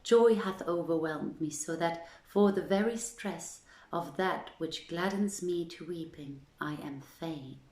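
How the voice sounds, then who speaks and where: A woman reading as an ardent young princess in a storybook tale, with formal, poetic, drawn-out phrasing. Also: fairly low pitch, a little mellow, lightly acted. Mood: tearful joy. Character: ardent young princess in love, reciting verse. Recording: roomy echo with music underneath.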